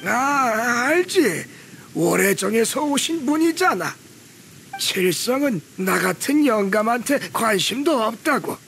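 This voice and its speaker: An actor playing a gruff but good-humored old village carpenter in a video game, with blunt, folksy, teasing speech. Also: male voice, extremely high pitch, sing-song, slow pace, fairly bright tone, a little hiss or hum, full character voice. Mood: gruff, matter-of-fact. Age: older. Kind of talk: video game character acting.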